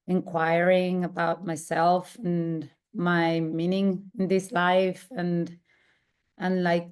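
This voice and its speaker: Slow, hesitant woman. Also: fairly low pitch, darkish tone, smooth. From Mexico. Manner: hesitant, searching for words with many pauses. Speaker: workshop participant. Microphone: video call.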